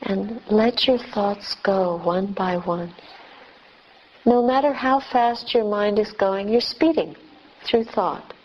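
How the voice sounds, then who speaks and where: Slow-speaking woman guiding meditation. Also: mid-range voice, highs cut off, slight background noise. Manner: gentle, measured, with long pauses between short phrases. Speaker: meditation teacher, Buddhist nun. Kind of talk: guided meditation.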